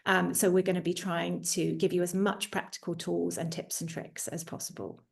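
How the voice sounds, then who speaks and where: British woman in her forties, halting delivery. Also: fairly low pitch, flat delivery, creaky. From United Kingdom. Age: 40s. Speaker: executive coach and guest presenter. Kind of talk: introducing herself in a live online masterclass. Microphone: video call.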